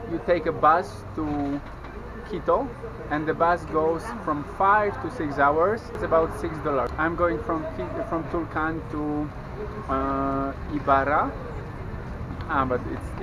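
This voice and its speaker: A man's voice: on the high side, unhurried. A young man with a Polish accent, whose first language is Polish, chatting to a handheld camera outdoors as a travel vlogger and explaining things step by step. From Poland. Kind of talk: casual travel vlog talk to camera. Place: outdoors at a busy border crossing, then a roadside food stall. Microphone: handheld camera at arm's length. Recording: outdoor camera audio with clear traffic noise. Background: street and traffic noise.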